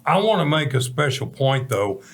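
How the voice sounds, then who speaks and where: A man's voice: mid-range voice, big pitch swings, normal speed. Folksy American man in his seventies, hosting. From Texas, United States. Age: 70s. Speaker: talk show host. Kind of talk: conversational talk show hosting.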